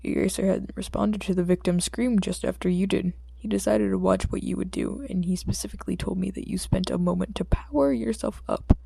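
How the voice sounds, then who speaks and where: A woman's voice: medium pitch, a little fast, vocal fry, hardly any pauses, dark tone, slightly rough, dry recording. A woman voicing a weary, stern male police detective in an audiobook, exasperated and firm. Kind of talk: audiobook character dialogue.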